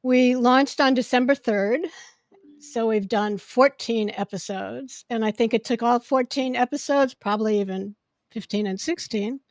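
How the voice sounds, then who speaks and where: A woman hosting a podcast in casual chat, with a loud voice that projects when she gets excited. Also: a little high, a little slow, no echo. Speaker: podcast host. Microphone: podcast microphone.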